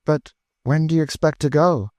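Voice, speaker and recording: A man voicing a kind, composed mistress of a household, speaking in a measured, proper way in a storybook reading. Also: a little high, darkish tone, no room sound, pitched above the performer's own voice, lightly acted. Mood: gentle.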